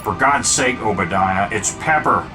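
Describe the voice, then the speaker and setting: An actor playing a determined, cocky armored superhero in a video game, speaking tersely and confidently. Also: male voice, on the low side, animated, unhurried, noisy background. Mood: urgent, defiant. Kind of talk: dramatic video game acting. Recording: game dialogue under loud music.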